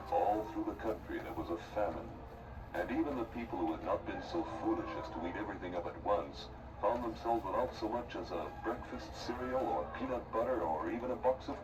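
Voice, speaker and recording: A man narrating a scripted fairy tale as its storyteller. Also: deep voice. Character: measured, very deep-voiced male fairy-tale storyteller. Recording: distant voice under loud music.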